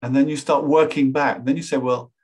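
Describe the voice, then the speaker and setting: A man in his sixties teaching a webinar from a small room at home, talking over a webcam in a relaxed, rambling way with lots of "you know". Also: medium pitch, a little fast, breathy edge. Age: 60s. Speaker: workshop facilitator. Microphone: webcam on a video call.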